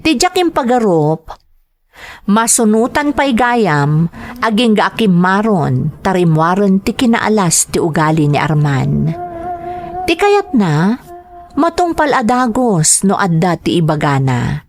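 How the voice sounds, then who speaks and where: A woman's voice: mid-range voice, very animated, takes it slowly, slight background noise. A woman playing a meek, fearful young woman under an abusive lover's thumb in an Ilocano radio drama, pleading and stammering. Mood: sorrowful reflection.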